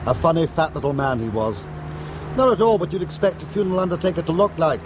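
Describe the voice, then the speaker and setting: An actor playing a wry, cool-headed criminal courier in a radio drama, speaking in a conversational way. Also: male voice, fairly high pitch, lively intonation, fast, background noise, natural voice. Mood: intrigued, wry. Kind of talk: radio drama acting, anecdotal dialogue.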